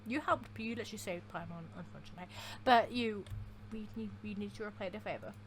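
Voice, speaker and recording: A woman's voice: mid-range voice, fast. A young woman giving chatty, jokey casual commentary as she plays a game. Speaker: gaming video host. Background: game music.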